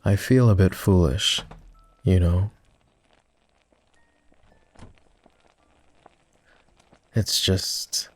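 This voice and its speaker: Husky, low, slow-spoken gentleman vampire acted by a man. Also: dry recording, exaggerated voice. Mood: shy, awkward. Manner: formal, courteous, hesitant, measured phrasing. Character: polite, self-deprecating, gently teasing ancient male vampire. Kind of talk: roleplay ASMR voice acting, intimate and soft.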